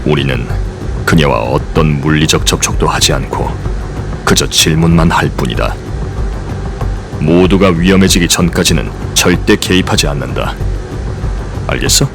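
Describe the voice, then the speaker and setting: A man's voice: very deep voice, normal speed, pauses often, music in the background. An actor playing a curt male field agent who narrates and gives clipped commands. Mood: stern. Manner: clipped commands, dry asides. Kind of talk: audio drama narration and acting.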